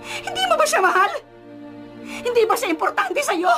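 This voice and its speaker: Casting: indulgent radio-drama mother, very high voice, lively swings, emotive and defensive. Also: moderate pace, music in the background. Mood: hurt, defensive. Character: doting, indulgent, defensive mother of a grown son. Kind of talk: Filipino radio drama acting.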